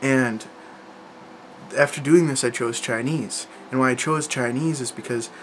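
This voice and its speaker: A man's voice: medium pitch, a little slow, a little hiss or hum. A young man chatting casually to camera about learning languages, rambling, with lots of fillers and restarts. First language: English.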